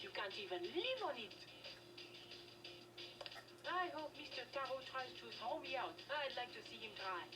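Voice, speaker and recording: A woman's voice: fairly high pitch, sing-song, normal speed, long pauses. A woman playing a broke, scheming, resentful nightclub hanger-on in video game dialogue. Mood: bitter, defiant. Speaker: game character voice actor. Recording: slightly distant game audio with music behind.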